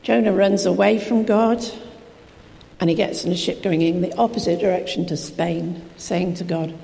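A woman preacher with a croaky voice, giving a chatty, informal sermon into a PA microphone in a church hall, with self-deprecating asides. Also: fairly low pitch, steady tone, normal speed, dark tone. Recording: reverberant hall with faint noise.

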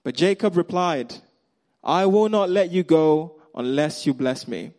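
A man with a Nigerian accent preaching a sermon, acting out the characters' lines and swinging between loud emphasis and quiet, hushed moments. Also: a little high, a little slow. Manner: animated storyteller, acts out Bible characters' lines.

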